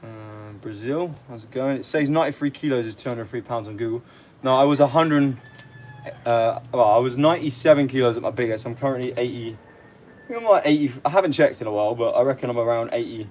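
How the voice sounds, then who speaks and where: A young man with a London-area English accent hosts a casual livestream. His voice sits at a middle pitch, and his talk is chatty and easygoing. Ambient noise is audible behind him. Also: fairly quick, a little mellow. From near London, England. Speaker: livestream host. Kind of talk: casual livestream chat, reading out comments. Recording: clearly noisy live stream with ambient sound.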